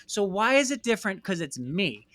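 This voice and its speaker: A man's voice: high-pitched, very animated, moderate pace, a bit bright, no room sound. An American man speaking earnestly and emphatically as an interview guest, telling reflective personal stories and repeating words for stress. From United States. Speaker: interview guest, documentary filmmaker. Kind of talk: reflective personal storytelling in conversation.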